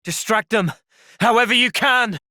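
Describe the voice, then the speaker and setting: A man playing an earnest, thoughtful young soldier and party leader in a video game, sincere and resolute in a measured way. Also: sits high, sharp tone, dry recording, studio quality. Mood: firm, decisive. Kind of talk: video game cutscene acting.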